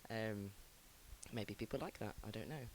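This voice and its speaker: A man hosting a music show and introducing songs in casual chat. He sounds ill, his voice lowered by sickness, and he rambles hesitantly with frequent ums. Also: normal speed, slight background noise, rough recording.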